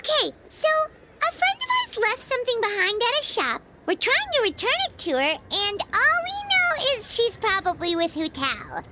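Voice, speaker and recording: A woman voicing a tiny, childlike, excitable fairy companion in a video game, with a squeaky, perky delivery. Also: normal speed, heavy background noise, exaggerated voice. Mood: explaining. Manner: perky, exclamatory.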